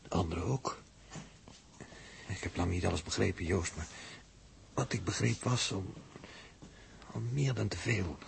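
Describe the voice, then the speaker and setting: An actor playing a grave, earnest and conscientious friend, speaking hesitantly in a 1960s radio drama. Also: male voice, sits low, unhurried, gravelly, long pauses, heavily acted. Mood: sorrowful. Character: sombre, conscientious loyal friend, male. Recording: faint noise, old radio recording.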